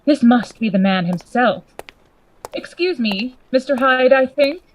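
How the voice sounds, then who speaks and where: A woman playing a grave, worried gentleman lawyer in a Gothic radio play, formal and earnest in manner. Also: on the high side, animated, measured pace, slight background noise, rough recording. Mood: wary, polite.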